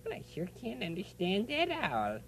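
A man's voice: high voice, wildly swooping pitch, moderate pace, vocal fry, fairly bright tone, a little hiss or hum, full character voice. A man voicing a mild, good-natured, folksy puppet fellow in a children's show, speaking hesitantly. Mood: puzzled. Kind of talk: children's television puppet play.

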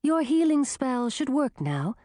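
A woman voicing a female forest spirit who speaks in a calm, measured and knowing way. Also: sits high, dry recording, clean studio sound, voice pushed up.

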